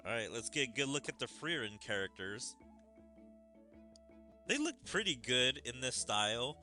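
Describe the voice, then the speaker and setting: A man's voice: medium pitch, very animated, normal speed, pushed and bright, faint music behind. A man giving casual, rambling commentary as a gaming streamer, with opinionated asides.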